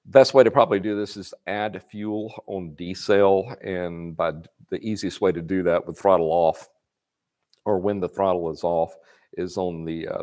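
A low-pitched man in his fifties with a drawl talks casually from a workshop, answering viewers' repair questions on a live stream. He is folksy and chatty, and he folds little stories of his own into his advice. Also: darkish tone. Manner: folksy, chatty, reads questions aloud and adds anecdotes. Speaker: parts company host answering viewer questions. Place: workshop garage with motorcycles, indoors.

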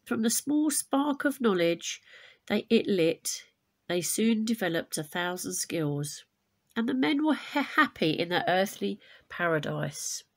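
A woman teacher reading a children's picture book aloud expressively, with deliberate, dramatic emphasis on key words. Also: mid-range voice, very animated. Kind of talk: expressive storybook read-aloud.

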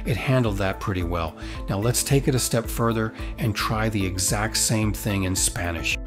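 A man's voice: fairly low pitch, normal speed. A man hosting a tutorial with upbeat, promotional scripted narration. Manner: enthusiastic, promotional. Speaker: tutorial host. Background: background music.

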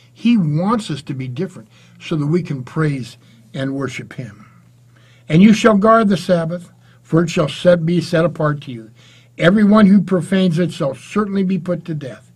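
An older man, sixties or beyond, with a husky voice, giving an earnest, informal Bible lesson with emphatic reading and quieter asides. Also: fairly high pitch. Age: 60s or older. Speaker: storytelling Bible teacher. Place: small indoor room with curtain and bookshelf.

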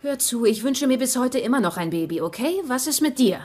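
An actress in a dubbed sitcom playing a sharp, assertive wife with a pointed, insistent way of talking. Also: female voice, on the high side, animated, brisk. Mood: frank. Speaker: dub actor in a sitcom.

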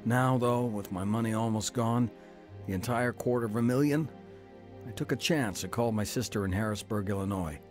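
A man's voice: fairly low pitch, normal speed, faint music behind. A middle-aged man telling his own story in earnest first-person narration for a radio drama. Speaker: narrator and lead.

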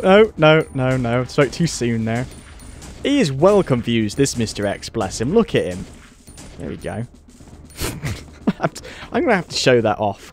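Wry British man commenting on gameplay. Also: medium pitch. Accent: British English. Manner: chatty, wry, laughing at the game. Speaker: let's play host.

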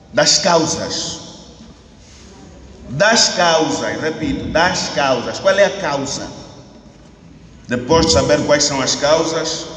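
A man's voice: fairly high pitch, recorded from a distance. Angolan man giving a fiery speech in an echoey hall. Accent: Angolan Portuguese. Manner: rhetorical questions, repeats phrases for emphasis, rises to shouting. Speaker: opposition party spokesman. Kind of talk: political press statement. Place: indoor hall at a podium. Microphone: podium mic on a stand, camera at a distance. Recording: echoey hall recording from the camera, faint ambient noise.

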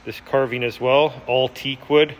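A man giving a casual, unscripted walkthrough as an auctioneer, with an enthusiastic salesman's pitch, in a large indoor warehouse. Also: steady tone, measured pace. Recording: reverberant large hall.

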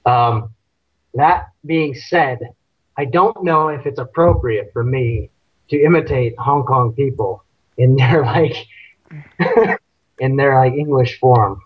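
A man's voice: medium pitch, slow pace, long pauses, husky, dry recording. Rambling man on a lo-fi video call. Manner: rambling, self-deprecating, laughs often. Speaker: guest, stunt actor and fight choreographer. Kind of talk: casual video-call interview. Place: indoor room at home. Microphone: webcam on video call. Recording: dull lo-fi call audio.

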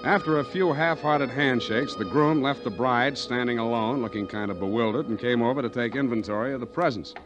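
An American actor playing a tough, wisecracking private detective, wry and blunt, in a 1940s radio drama. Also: male voice, medium pitch, brisk, hardly any pauses, highs cut off, close to an everyday voice. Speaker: lead actor and narrator. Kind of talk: 1940s hard-boiled detective radio acting and narration. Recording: old 1940s radio recording, music behind.

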